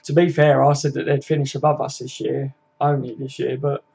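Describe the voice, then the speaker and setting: A man's voice: medium pitch, level tone, a little fast, creaky, dark tone, breathy edge. A man, hosting a casual live stream, chatting matily with viewers and laughing easily. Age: 20s.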